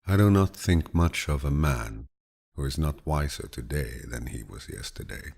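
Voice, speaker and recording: Very deep, gravelly man narrating calmly. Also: moderate pace. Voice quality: deep, low, gravelly, husky voice. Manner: calm, soothing, grandfatherly storytelling delivery. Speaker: audiobook narrator. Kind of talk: calm, measured audiobook reading of quotations.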